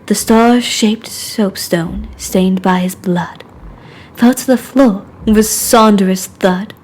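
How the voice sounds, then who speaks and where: A woman's voice: medium pitch, slow, a little mellow, rough recording. Woman acting a prim, cruel young aristocrat lady. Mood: cold reminiscence. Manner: prim, taunting, theatrical. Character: cruel, mocking young aristocratic woman, twisted game mistress. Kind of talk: game character voice acting reel.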